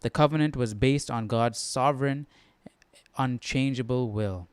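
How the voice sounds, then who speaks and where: A man with a clear, smooth voice talks in a casual, unscripted way, as a study host would. He muses aloud and puts questions to himself between bits of reading. The sound is close and dry. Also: mid-range voice, a little slow, no echo. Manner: musing aloud, asking questions. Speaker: host of a Bible study stream.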